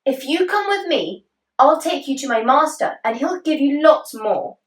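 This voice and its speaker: A woman voicing a small, clever young boy in a storybook tale, with a high, pleading voice. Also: expressive, moderate pace, a bit bright, roomy sound, distant mic, low-quality recording. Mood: coaxing.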